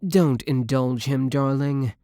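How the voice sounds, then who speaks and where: A man's voice: mid-range voice, steady tone, slow, dark tone, no echo, clean studio sound. A young man acting in an audio roleplay as a clingy, obsessive boyfriend, theatrically doting and smug, scornful of his rival.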